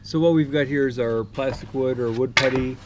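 Relaxed young man explaining DIY steps. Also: mid-range voice, brisk, noisy background. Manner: relaxed, practical, explains step by step with small restarts. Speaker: DIY home improvement host. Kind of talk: casual how-to narration while working. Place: outdoors on a driveway.

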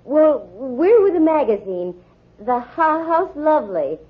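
A woman's voice: high-pitched, sing-song. Wry female detective, muffled old radio. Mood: businesslike, improvising. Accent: American. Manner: brisk, bossy, wry. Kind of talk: 1940s radio drama acting. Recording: muffled, scratchy 1940s radio recording.

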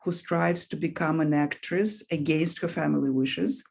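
A woman from Russia, speaking English as a second language with a Russian accent, talking as an author guest in a relaxed interview and often pausing with "um". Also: low voice, gravelly, husky. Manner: thoughtful, pauses with frequent "um". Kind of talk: casual interview conversation.

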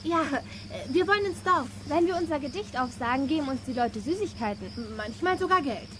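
An actress playing a bold, quick-thinking girl in a children's radio play. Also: high-pitched, animated. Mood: feigned innocence. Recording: clear background noise.

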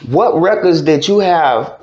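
A man's voice: on the high side, lively intonation, a little slow, darkish tone. A man with a Louisiana Southern accent hosting a casual, slangy podcast interview. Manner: slangy, informal. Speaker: podcast host. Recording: dull, lo-fi audio.

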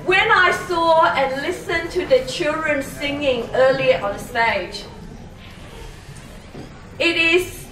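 A woman's voice: high-pitched, bright, forceful tone, slight background noise. A middle-aged woman whose first language is not English gives a formal, earnest speech at a ceremony, with a few hesitations. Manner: measured, earnest, with some hesitations. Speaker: politician giving a speech. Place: community club hall on a stage. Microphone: handheld mic, far camera. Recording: echoey hall from far off.

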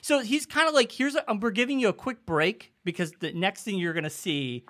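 A man co-hosting a podcast in casual discussion, talking in an excitable, rambling way. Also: sits high, fairly quick. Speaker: podcast co-host.

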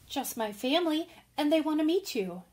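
A woman's voice: high-pitched, lively intonation, moderate pace, darkish tone. A woman playing an earnest, impulsive young woman writer in an old-time radio drama. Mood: urgent, eager.

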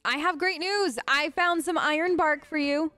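A woman's voice: high voice, normal speed, pushed and bright. A woman doing casual, earnest role-play commentary as a let's play host. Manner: informal, earnest, empathetic.

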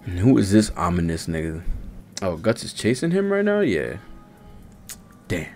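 A man's voice: low voice, wildly swooping pitch, moderate pace, darkish tone, soft background music. A man in his thirties gives casual, slangy, profane live reaction commentary into a close desk microphone, reading panels aloud as he goes. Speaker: manga reaction streamer. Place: small indoor streaming setup. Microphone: close desk-arm microphone.

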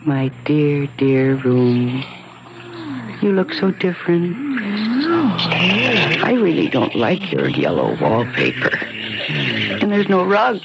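A wistful, weary young housewife speaks with polite hesitation and nostalgia. It is 1970s radio drama, dulled and clearly noisy. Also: female voice, very deep voice, big pitch swings, takes it slowly, long pauses, heavily acted. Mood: dreamy, tender. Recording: muffled, noisy old radio recording.

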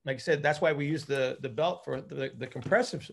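A man's voice: a little high, vocal fry, breathy edge. A middle-aged man with a slightly raised voice coaches a group over a video call. He explains technical material informally, often doubling back on words as he works through the idea. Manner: halting, repeats words as he thinks aloud. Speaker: coach and physical therapist hosting a group call. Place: indoor room at home, group video call.

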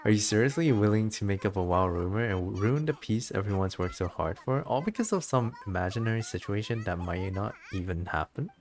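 A man's voice: fairly low pitch, lively intonation, a little fast, few pauses, darkish tone, soft background music, natural voice. A man reading game dialogue as a small, indignant fairy companion. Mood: indignant, sceptical.

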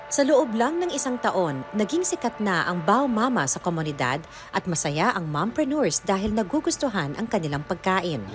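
A woman's voice: mid-range voice, sing-song, fairly quick. A woman with a Filipino accent reading scripted news narration as a reporter. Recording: music playing behind the voice. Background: background music under voice-over.

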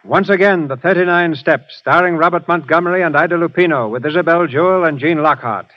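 Crisp formal male radio announcer. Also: fairly high pitch, fairly quick, very noisy. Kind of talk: scripted narration. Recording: dull old radio broadcast with music.

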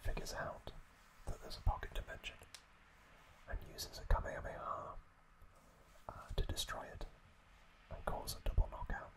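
A man whispering an ASMR recap as host, telling it in short, hesitant phrases with pauses. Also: poor audio.

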